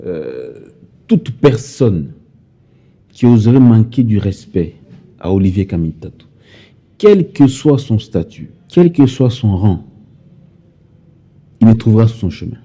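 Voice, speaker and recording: Low, husky-voiced male interviewer. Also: big pitch swings, unhurried, long pauses, warm tone. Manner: pointed, challenging questions. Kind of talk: televised interview.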